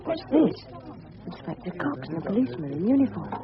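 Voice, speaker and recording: An actor playing an earnest, anxious English schoolboy in a radio drama, polite but prickly. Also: male voice, sits high, big pitch swings, fairly quick, a crowd in the background. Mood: musing. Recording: muffled 1970s radio play, busy background sounds.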